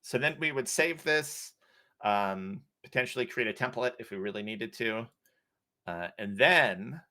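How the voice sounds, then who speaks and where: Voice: mid-range voice, animated, unhurried, pushed and bright. A co-host of a live stream tutorial, talking casually and thinking aloud with many fillers while explaining steps. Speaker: co-host, platform guide.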